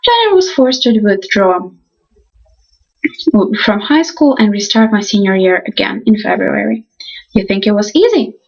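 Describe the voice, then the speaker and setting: Earnest young woman, halting restarts. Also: medium pitch, slightly rough, dull audio. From Ukraine. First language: Russian. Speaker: college student. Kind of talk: reading a class presentation.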